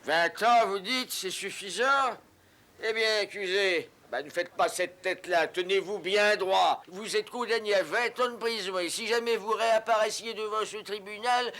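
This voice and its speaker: A stern cartoon judge, with a high, piercing voice. He scolds pompously and declaims every sentence as a pronouncement. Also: normal speed, sharp tone.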